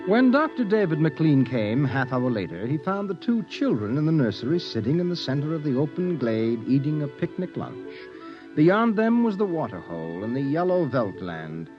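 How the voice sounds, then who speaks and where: A man narrating a 1950s radio drama in a measured storytelling manner, heard through a dull old radio transcription. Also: on the high side, big pitch swings, over music.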